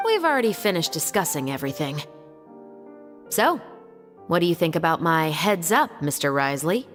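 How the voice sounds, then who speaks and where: An actress playing a cool, sardonic patrol captain in a video game, speaking in a casual, understated way. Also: female voice, low-pitched, sing-song, moderate pace. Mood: sly, teasing. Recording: long reverb, music underneath.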